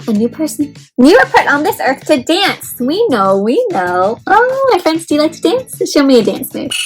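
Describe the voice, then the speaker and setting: A woman hosting an interactive read-aloud for children, expressive and encouraging like a teacher, explaining simply and putting questions to her listeners. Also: high-pitched, low-quality recording.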